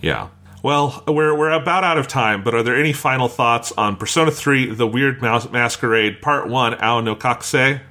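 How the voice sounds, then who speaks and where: A man with a bright, clear-edged voice hosts a casual discussion show. He speaks informally, as in a chat among friends, and lets the odd swear word slip in. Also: medium pitch, normal speed. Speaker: podcast host.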